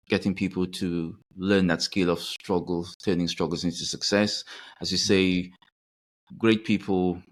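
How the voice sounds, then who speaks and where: African-born male host with a deep voice, earnest and encouraging interviewer. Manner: earnest, encouraging, repeats words. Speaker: podcast host. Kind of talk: interview conversation.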